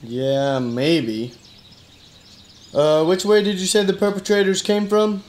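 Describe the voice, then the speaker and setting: An actor voicing a skeptical, hard-boiled private eye with dry, deadpan delivery in a noir detective radio play. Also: male voice, high voice, slow. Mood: dry, probing. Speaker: detective and narrator.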